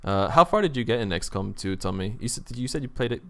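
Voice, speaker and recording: Man, lowish pitch, smooth resonant tone, casual gaming podcast host, enthusiastic, sweary. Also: lively intonation, fast talker, bright, forceful tone.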